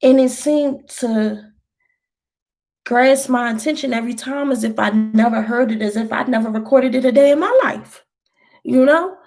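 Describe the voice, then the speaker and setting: A woman's voice: a little high, moderate pace, darkish tone, soft background music. A thirty-year-old woman vlogger answering viewer questions in a loose, rambling, encouraging way, with slang. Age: 30s. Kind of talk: casual chat.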